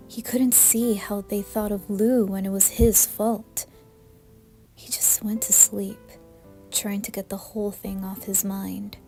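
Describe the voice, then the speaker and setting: A woman's voice: mid-range voice, dark tone. A woman reading scripted horror narration as a storyteller.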